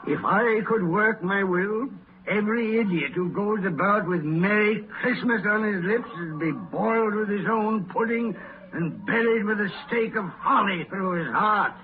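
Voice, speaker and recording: A man's voice: high-pitched, measured pace. An actor playing a grim, miserly old businessman in 1930s radio drama, scornful and irascible, barking and muttering theatrically. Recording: scratchy, narrow-band 1930s radio broadcast.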